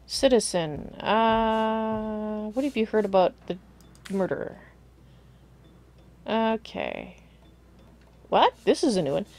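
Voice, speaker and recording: A woman streaming a video game live, slightly hoarse from a cold, chatting with viewers and thinking aloud as she plays. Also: mid-range voice, sing-song, slow pace, pauses often, a little mellow. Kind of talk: casual live gameplay commentary.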